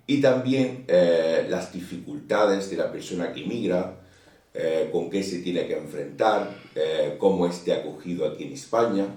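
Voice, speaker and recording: A man hosting his own channel and interviewing a guest, asking short, measured questions. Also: sits low, dark tone, far from the mic. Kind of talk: casual interview. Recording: roomy, echoey, voice away from mic.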